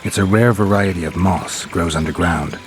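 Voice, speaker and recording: A man playing a gruff, laconic mutant monster hunter in a fantasy video game, terse and dry. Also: moderate pace, noisy background, close to an everyday voice. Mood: dry, businesslike. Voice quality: low gravelly voice. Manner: terse, dry, matter-of-fact.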